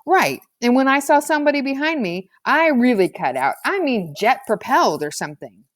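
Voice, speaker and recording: A woman's voice: sits high, very animated, normal speed, dry recording, clean studio sound, pitched above the performer's own voice. A woman voicing a cocky, slangy schoolboy with a brash, self-justifying manner in a storybook reading. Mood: boastful. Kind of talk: storybook character voice.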